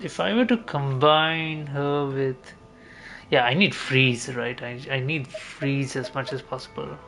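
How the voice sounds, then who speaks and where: A man's voice: medium pitch, unhurried, vocal fry, a bit bright. Male game streamer, casual and hesitant, working things out aloud over prominent game music. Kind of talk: casual gameplay commentary, thinking aloud. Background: video game music.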